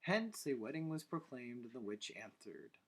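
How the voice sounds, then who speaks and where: A man's voice: medium pitch, sing-song, normal speed, breathy edge. A man reading a bedtime story aloud, breaking off for playful, teasing asides to his listeners.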